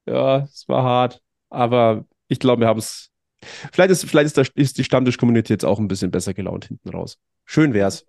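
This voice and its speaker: A man's voice: mid-range voice, a little fast, creaky, a little mellow, no echo, clean studio sound. Man with a lilt, a bit under the weather. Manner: chatty, upbeat sign-offs with filler words. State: slightly hoarse from a cold. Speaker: podcast host. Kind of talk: casual podcast chat.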